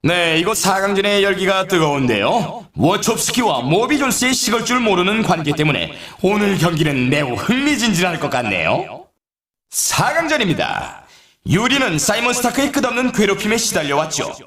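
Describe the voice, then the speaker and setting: High-pitched, animated male race announcer. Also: moderate pace, heavily acted. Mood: excited, hyped. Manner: dramatic, showy announcer delivery. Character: excitable, showy male snowboard race announcer. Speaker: sports announcer in a snowboarding video game. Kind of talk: excited sports commentary, scripted. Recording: roomy echo, slightly distant mic.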